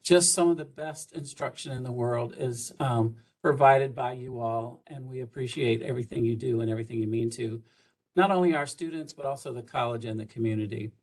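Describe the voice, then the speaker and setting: A man's voice: medium pitch, brisk, a little mellow. Older man, college administrator, folksy welcome on a video call, lots of fillers. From South Georgia, USA. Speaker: college administrator introducing the president.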